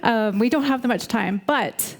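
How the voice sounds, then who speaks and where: A woman's voice: on the high side, moderate pace. An American woman hosting and interviewing onstage at an event, friendly and upbeat. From United States. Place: event stage before a live audience.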